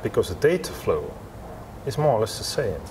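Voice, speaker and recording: A low-voiced man answers interview questions as a company representative. His English is non-native, halting and repetitive. Clear ambient noise of a large indoor venue sits behind him. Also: big pitch swings. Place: large glass-walled expo hall, indoors.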